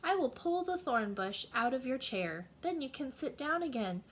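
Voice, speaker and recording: A woman's voice: sits high, very animated, normal speed, fairly bright tone, a little hiss or hum. A woman voicing a kindly, helpful policeman in a children's storybook. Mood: concerned.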